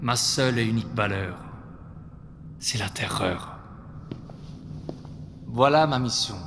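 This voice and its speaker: A man playing a menacing terrorist in a French audio drama, taunting his enemy in a deliberate, theatrical, mocking manner. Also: mid-range voice, vocal fry, fairly bright tone. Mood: grandiose menace. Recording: reverberant hall, faint background noise.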